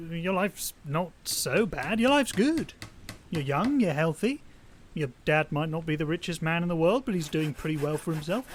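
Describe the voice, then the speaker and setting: An actor playing a poor, self-pitying, lovesick young man in an audio drama, talkative and halting, plaintive, on a noisy recording. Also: high-pitched. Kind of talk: naturalistic audio drama acting.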